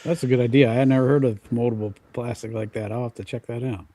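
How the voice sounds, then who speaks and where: A man chatting on a podcast panel, explaining things in an earnest, halting way. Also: mid-range voice, fairly even intonation, warm tone. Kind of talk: casual podcast chat.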